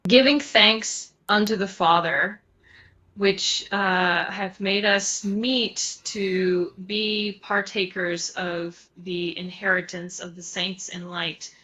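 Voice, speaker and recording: A synthetic female voice reciting a scripture verse in a slow, drawn-out way. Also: medium pitch, fairly even intonation, pushed and bright, slightly rough.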